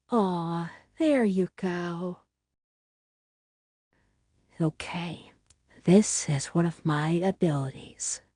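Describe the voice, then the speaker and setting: A woman playing a gentle, doting mother who soothes her small baby in a soft, tender, cooing ASMR bedtime roleplay. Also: on the low side, husky, no room sound, clean studio sound. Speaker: ASMR audio roleplay performer.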